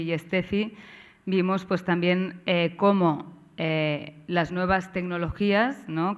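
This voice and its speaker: A woman with a Catalan accent, whose first language is Catalan, opens a seminar session as its organizer, talking haltingly with frequent tag questions and restarts. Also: on the low side, clear, resonant tone. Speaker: course organizer and moderator. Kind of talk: seminar introduction.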